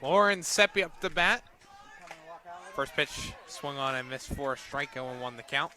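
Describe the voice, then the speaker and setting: A man doing live radio play-by-play of a softball game as the announcer. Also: medium pitch, expressive, normal speed. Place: outdoors at a ballfield, on a platform by tables. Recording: outdoor ballfield noise behind the voice. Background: loud outdoor ambience.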